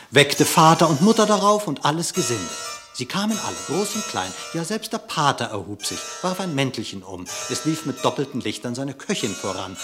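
A man narrating a verse animal fable for radio, declaiming in an expressive, theatrical way. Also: medium pitch.

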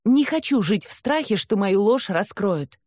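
A woman's voice: medium pitch, animated, unhurried, a little mellow, dry recording, clean studio sound. A woman narrating a novel in the first person, reading expressively with a dramatic touch. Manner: dramatic, acts out dialogue. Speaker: audiobook narrator.

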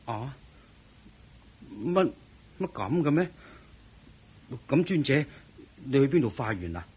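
An actor playing an eager, admiring young lay disciple, deferential and naive, speaking Hong Kong Cantonese in a radio drama. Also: male voice, medium pitch, very animated, slow, a little mellow, breathy edge, full character voice. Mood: puzzled, surprised.

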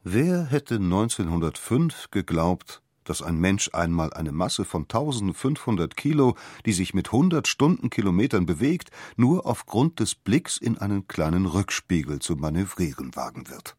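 Deep, raspy man, expressively reading. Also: very animated, normal speed, hardly any pauses, darkish tone, dry recording, clean studio sound. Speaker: reader of quotations. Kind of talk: dramatic scripted reading.

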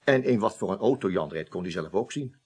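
An actor playing an evasive office superior under questioning in a Dutch radio play, hesitant, rambling and defensive. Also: male voice, sits low, animated, a little fast, a little mellow, slightly rough.

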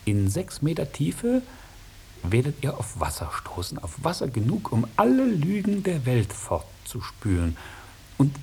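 An actor playing a sly, mocking, melancholy old dragon who knows everything, declaiming theatrically in a 1950s German radio play. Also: male voice, medium pitch, huge pitch swings, unhurried, vocal fry, husky, heavily acted. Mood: solemn, mysterious. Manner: theatrical, declaiming, swooping from hushed to loud. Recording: hissy 1950s radio recording.

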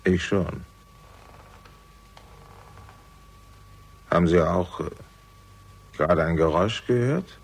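An older patriarch of a crumbling house in a radio thriller. The voice is extremely low, creaky and husky, and he speaks in measured, formal phrases with quiet menace. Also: heavily acted, rough recording. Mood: calm, probing. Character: older father, composed, menacing guardian of a decaying house. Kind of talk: German radio crime drama acting.

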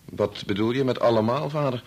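An actor playing a scientist's defiant, anguished grown son in a radio drama, with a challenging, questioning manner. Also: male voice, medium pitch, brisk, breathy edge. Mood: shocked, wary. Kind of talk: Dutch radio drama acting.

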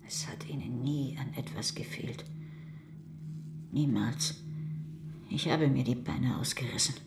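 A woman's voice: rumbling low, unhurried, creaky, whispered, exaggerated voice. A woman playing a hardened older mother in a radio crime drama, speaking with cold, reproachful severity. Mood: bitter, self-pitying.

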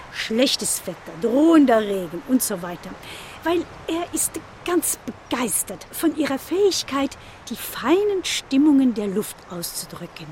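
A woman's voice: high voice, a little mellow, slight background noise. A woman playing a tour guide in a radio play, talking in an informal, conversational, anecdotal way. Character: chatty, informal female tour guide at a seaside visitor site. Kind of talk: casual guided-tour talk.

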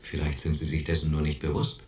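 A man's voice: extremely low pitch, monotone, quick pace, darkish tone. A man playing a patronizing, coldly authoritarian institution doctor in a German radio drama. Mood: stern, condescending.

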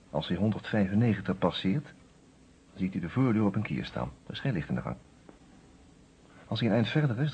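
Low, animated male storyteller. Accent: Netherlandic Dutch. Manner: suspenseful storytelling. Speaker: narrator. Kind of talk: scripted radio play narration. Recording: muffled, slightly noisy old radio recording.

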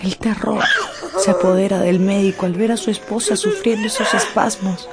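A woman's voice: mid-range voice, steady tone, moderate pace, over loud music. A woman narrator reading a scripted radio serial in a solemn, suspenseful, dramatic way.

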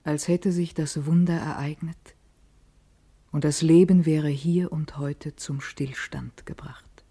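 A grave, resolute woman speaks at a very slow pace. Her radio-play monologue is solemn and deliberate, like recited poetry. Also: deep voice, fairly even intonation, warm tone, breathy edge, heavily acted. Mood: awed. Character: grave, resolute soul musing on ageing and time.

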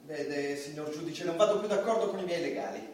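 A man's voice: on the high side, fairly quick, natural voice. A man playing a beleaguered, defiant comedian defending himself in a stage courtroom drama, arguing insistently and pleading. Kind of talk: theatrical courtroom stage acting in Italian. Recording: echoey distant stage, faint noise.

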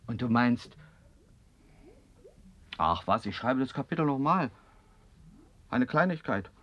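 An actor in a German radio drama playing an eccentric, grandiose writer who swings from hushed to exclaiming. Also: male voice, medium pitch, expressive, slow, dull audio. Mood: self-satisfied.

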